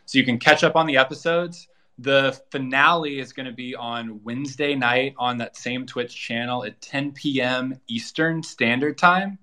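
A man with a bright, forward voice and a middle-range pitch. He speaks as a guest promoting a project, in an informal, explanatory way, laying out details one after another. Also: fairly even intonation, moderate pace. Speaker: guest, co-creator of an audio drama. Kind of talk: casual promotional interview talk.

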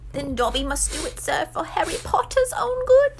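A woman's voice: extremely high pitch, big pitch swings, normal speed, vocal fry, slight background noise, voice pushed up, heavily acted. A woman voicing a small, anxious male house-elf servant who pleads servilely and speaks of himself in the third person in a storybook reading. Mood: desperate, insistent. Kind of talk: storybook character voice.

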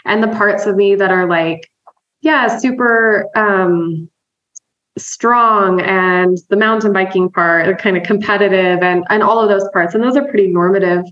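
A woman's voice: medium pitch, a little slow, a bit bright. Chatty woman therapist, roomy dull audio. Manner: upbeat and conversational, laughs often, tags points with "right?". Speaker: therapist and EMDR trainer, podcast guest. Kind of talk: casual explanatory interview talk.

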